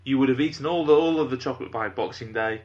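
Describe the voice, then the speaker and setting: A man's voice: medium pitch, fast, a little mellow, lo-fi sound. A man from North Wales hosting a comedy podcast, introducing topics in a dry, mock-formal way. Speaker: podcast host. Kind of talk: casual comedic chat.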